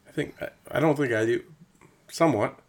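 A man's voice: medium pitch, fairly quick. A man from Indiana with a slight Southern accent, co-hosting a podcast, telling stories and asking his co-host questions. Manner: upbeat storyteller, asks his co-host questions. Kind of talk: casual chat.

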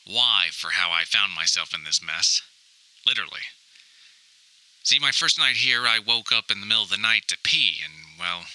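A man's voice: fairly low pitch, pushed and bright, no echo, rough recording. A man playing an anxious, wry grown son recording a wordy, mock-dramatic audio diary. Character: anxious, wry grown son lost in hoarder mother's house. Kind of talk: scripted comic audio diary monologue.

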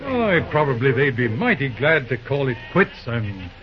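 An actor playing a calm, wily small-town judge with courtly, deliberate politeness and a slightly stumbling delivery in an old radio western. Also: male voice, medium pitch, music in the background, natural voice. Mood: calm, folksy. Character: folksy, wily, calm judge, a railroad town's peacemaker. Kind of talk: old-time radio western drama acting. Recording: muffled old radio show recording.

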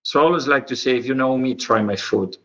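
Bright-voiced Argentine man, slightly dull recording. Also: medium pitch, fast. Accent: Argentine Spanish. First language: Spanish. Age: 40s. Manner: expressive, informal, non-native English grammar. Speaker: professional chef, interview guest. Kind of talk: conversational interview storytelling.

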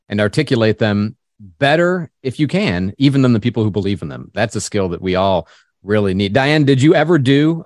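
A man's voice: mid-range voice, dry recording. Affirming male host, dry close mic. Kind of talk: hosting an interview.

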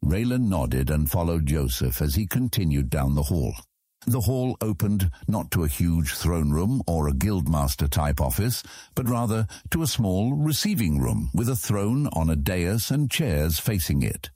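A man narrates scripted fantasy fiction. His voice is very deep, dark and husky. The delivery moves freely in pitch as he tells the story. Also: no room sound, clean studio sound.

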